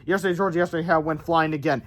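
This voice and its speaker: A man reading a children's book aloud as storyteller, animated, with casual asides and stumbles over words. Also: on the high side, rapid-fire.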